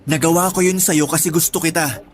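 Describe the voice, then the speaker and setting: Pleading young Filipino man, played by an actor, highish voice. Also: steady tone, brisk, close to an everyday voice. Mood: earnest, confessing. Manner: earnest, pleading. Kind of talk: Tagalog radio drama acting.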